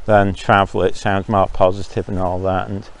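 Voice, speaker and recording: Opinionated, rambling man, slightly low voice. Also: level tone, moderate pace. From Zambia. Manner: opinionated and ranting, with frequent ums and restarts. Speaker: podcast host. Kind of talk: casual opinion monologue. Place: outdoors walking dogs.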